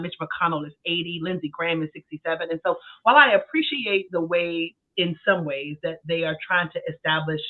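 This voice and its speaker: A man in his forties, a podcast co-host, talking earnestly and thinking aloud in a casual discussion. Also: fairly high pitch. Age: 40s. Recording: tinny phone-like sound.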